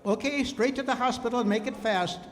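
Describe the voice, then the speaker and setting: A man playing a brisk, bossy street police officer in old-time radio drama style. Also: very high voice, some chatter behind. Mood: brisk, authoritative. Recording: noisy, echoey hall.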